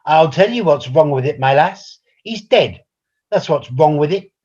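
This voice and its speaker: A woman's voice: low voice, lively intonation, unhurried, warm tone, husky, exaggerated voice. A woman playing an indignant, exasperated pet-shop customer in an amateur radio comedy, complaining insistently with pompous formality. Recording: dull, band-limited recording.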